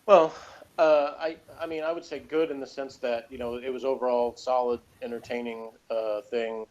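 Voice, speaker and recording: A man's voice: mid-range voice, fairly even intonation, normal speed, a little mellow, lo-fi sound. A man giving a casual, conversational TV review, explaining and arguing his points at length. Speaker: podcast reviewer.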